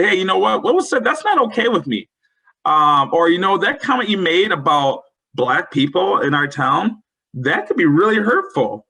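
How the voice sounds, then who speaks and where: A man leads an educator webinar with a bright, forward tone. His voice has a slightly husky edge, and he sits a little above the usual male pitch. He talks in an earnest, coaching way. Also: rough recording. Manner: earnest, coaching, quotes example phrases. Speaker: webinar presenter. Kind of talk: presenting a professional development webinar.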